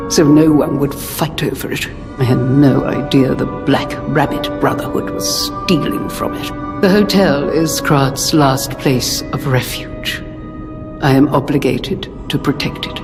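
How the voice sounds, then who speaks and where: A woman playing a dignified, protective older hotel guardian in a video game, speaking in a measured, solemn, genteel way. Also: low-pitched. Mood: resolute, devoted. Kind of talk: scripted game cutscene dialogue. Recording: long reverb under music.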